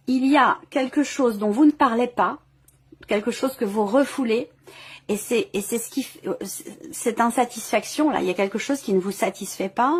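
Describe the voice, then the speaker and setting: A woman's voice: on the high side, husky. A woman giving an improvised tarot reading as host, feeling her way through phrases and often rewording as she goes. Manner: hesitant, searching phrasing with repeated rewording. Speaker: tarot reader hosting a video reading. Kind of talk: intuitive tarot reading, improvised spoken commentary.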